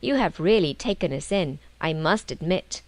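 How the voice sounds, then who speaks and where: A woman's voice: deep voice, expressive, moderate pace, no room sound. A woman voicing a reasonable, teasing older brother in a storybook, good-humoured and a little patronising. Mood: mildly reproving.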